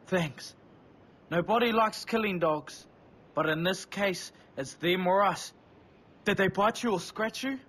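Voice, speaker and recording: Husky, high-voiced worried villager. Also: male voice, unhurried, a bit bright, full character voice. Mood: earnest, cautious. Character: worried, earnest island villager man asking for help. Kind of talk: video game quest dialogue.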